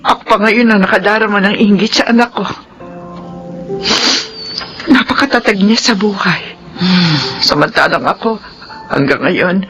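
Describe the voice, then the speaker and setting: A woman's voice: mid-range voice, fairly bright tone, close to an everyday voice. An actress playing a troubled, devoted mother in a Filipino radio drama, emotional and heavy-hearted. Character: troubled mother, self-doubting yet devoted to her child.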